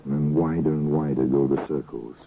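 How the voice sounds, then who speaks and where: Narrator for a vintage radio mystery: an ominous, measured storyteller, bass and husky. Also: male voice, fairly even intonation, heavily acted. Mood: ominous, musing. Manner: measured, insinuating, rhetorical. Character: knowing, ominous male storyteller of a mystery tale. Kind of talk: old-time radio drama narration. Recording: muffled narrow-band vintage radio recording.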